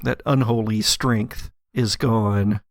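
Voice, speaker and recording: A man narrates as a game master with a slow, measured delivery. His voice has a gravelly creak and a dramatic storyteller's shape. The sound is dry and close. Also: on the low side, fairly even intonation, no echo. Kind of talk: narrating an actual-play audio drama, storytelling.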